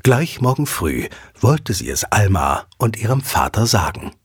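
A man narrating a scripted audio drama as a dramatic, expressive storyteller. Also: on the low side, a little slow, slightly rough, dry recording, studio quality. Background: background music.

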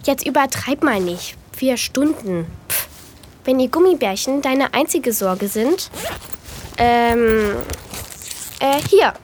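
A bold, teasing girl in a radio play, the bossy older sibling with a taste for adventure. Her voice is high, with faint noise behind. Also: expressive, slow. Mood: annoyed, teasing. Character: teasing, adventurous big sister, a young girl. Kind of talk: German audio drama acting.

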